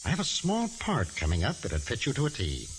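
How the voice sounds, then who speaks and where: Pompous, swooping film director. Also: male voice, mid-range voice, wildly swooping pitch, brisk. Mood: flirtatious, scheming. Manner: smooth-talking, effusive, theatrical. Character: suave, pompous, flirtatious male film director. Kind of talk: 1940s radio drama acting. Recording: hissy, noisy 1949 radio recording.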